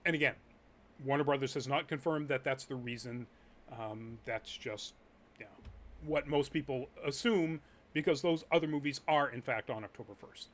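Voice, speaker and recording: A man's voice: medium pitch, normal speed, a bit bright. A man hosting a live chat show, upbeat and often laughing as he runs through the news. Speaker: host.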